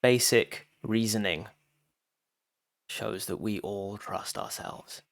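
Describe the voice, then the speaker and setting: A man giving a guided meditation talk as a teacher, phrasing deliberately with frequent pauses and addressing listeners directly. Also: on the low side, vocal fry, no echo, studio quality.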